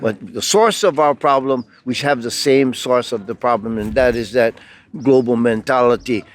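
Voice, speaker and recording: An older man from Hawaii gives an earnest, halting impromptu speech as an activist, rambling with pauses. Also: mid-range voice.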